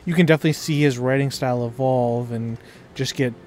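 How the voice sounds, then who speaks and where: A man's voice: mid-range voice, fairly even intonation, fairly quick, darkish tone, slight background noise. A man in casual, slangy gameplay chat, joking as he goes with his co-op partner. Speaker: gaming streamer, co-op player.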